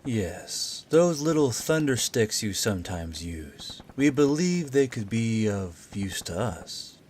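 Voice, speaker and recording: A man voicing a calm, sly female harpy warrior leader in a story, speaking quietly and deliberately. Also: lowish voice, a little hiss or hum. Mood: coaxing.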